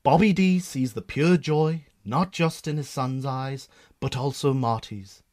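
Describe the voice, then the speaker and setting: A man's voice: medium pitch, a little slow, darkish tone, lightly acted. A man narrating a scripted radio drama in a theatrical storytelling manner.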